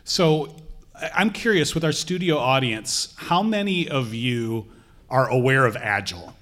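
A man's voice: medium pitch, lively intonation, unhurried, fairly bright tone. A man with a mid-range voice hosts a live podcast in front of a conference audience. He is chatty and curious, asking his guests and the crowd questions. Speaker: podcast host.

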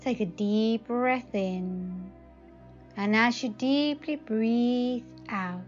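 A woman guides a meditation at a very slow pace, soothing and full of long pauses. Her pitch is average. The recording is slightly dull, with faint music underneath. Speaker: psychic advisor, meditation guide.

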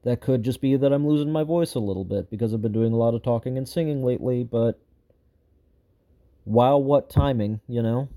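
Dark-voiced, frustrated, rambling man. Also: mid-range voice, a little fast. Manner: rambling and frustrated, lots of fillers and restarts. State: slightly hoarse. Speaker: show host giving an update. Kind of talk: unscripted personal update to viewers.

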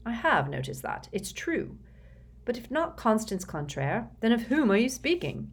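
A woman reading the part of a cold, quick-tempered male headmaster in an audiobook, with cool, clipped questions. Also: a little high, sing-song, slightly rough, pitched above the performer's own voice, heavily acted. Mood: probing.

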